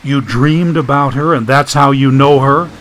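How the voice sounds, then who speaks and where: A man's voice: mid-range voice, normal speed, fairly bright tone, a little hiss or hum, close to an everyday voice, rough recording. A man voicing a weary, irritable man in an audiobook, questioning curtly and impatiently. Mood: insistent. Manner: curt, tense questioning.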